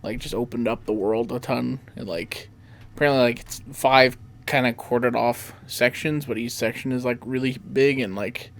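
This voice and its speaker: A man's voice: medium pitch, fairly even intonation, normal speed. A man hosting a podcast, chatting casually about video games in a rambling way full of "like". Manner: rambling, peppered with "like". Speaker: podcast host.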